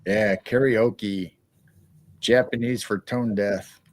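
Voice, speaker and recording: A man's voice: on the low side, steady tone, slow pace, dry recording. A man co-hosting a casual live-stream chat, rambling with frequent restarts. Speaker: co-host.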